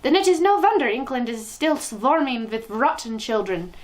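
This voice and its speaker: A woman's voice: a little high, lively intonation, moderate pace, pitched above the performer's own voice, close to an everyday voice. Woman performing a screeching, tyrannical storybook witch leader. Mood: furious. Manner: screeching, imperious, theatrical, oddly inverted grammar. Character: tyrannical, raging witch leader, shrill and menacing. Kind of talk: children's storybook character reading.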